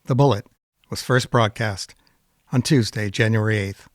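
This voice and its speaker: A man presents a scripted introduction. His voice sits somewhat low, and the recording is dry, with no room around it. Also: normal speed, dry recording. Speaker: presenter of archive radio episodes.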